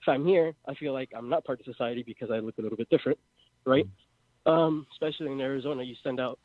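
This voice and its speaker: A man's voice: medium pitch, fast talker, no room sound. A man in his twenties with a Mexican accent, calling in to a live show and rambling through his idea with many fillers. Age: 20s. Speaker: caller. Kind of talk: phone-in call to a live show. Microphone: phone. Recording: tinny phone line.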